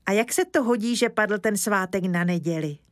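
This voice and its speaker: A woman voicing a lively, cheeky little village boy in an eager, childlike way. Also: medium pitch, dry recording, clean studio sound, lightly acted.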